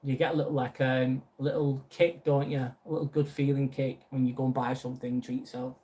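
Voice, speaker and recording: A man's voice: medium pitch, little pitch movement, brisk, mellow. A young man with a Lancashire accent hosting a casual live stream, reading out viewer comments and chatting back. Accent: Lancashire English. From northwest England. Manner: chatty, reads out viewer messages and answers them.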